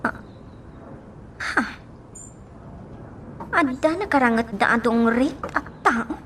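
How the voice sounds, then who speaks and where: A woman's voice: on the high side, slow pace, a bit bright, slight background noise. A woman with an Ilocano accent acting a troubled, sentimental young lady in a radio drama. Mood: shocked, wondering.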